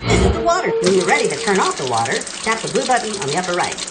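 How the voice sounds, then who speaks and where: A woman's voice: fairly low pitch, wildly swooping pitch, racing, very noisy. A woman giving short step-by-step instructions and encouraging praise for a children's game. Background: loud game music.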